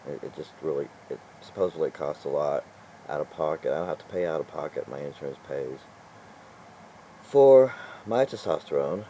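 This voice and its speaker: An older man with a low, mellow voice chats loosely to the camera. He talks in an exasperated, meandering way, chuckling and trailing off between thoughts. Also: lively intonation, moderate pace, slight background noise, rough recording. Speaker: vlogger. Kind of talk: casual rambling vlog talk.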